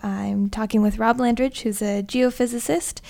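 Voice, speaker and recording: Young woman with creaky, higher voice. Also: fairly even intonation, normal speed, darkish tone. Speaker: podcast interviewer, host. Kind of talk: casual interview.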